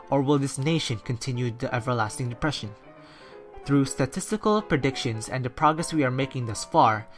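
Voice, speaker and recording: A radio narrator and newscaster reads in a steady, scripted manner at a middling pitch, like a school recreation of a 1930s broadcast. Soft music sits faintly behind the voice. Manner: steady, read-from-script delivery. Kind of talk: read-aloud 1930s-style radio narration and news.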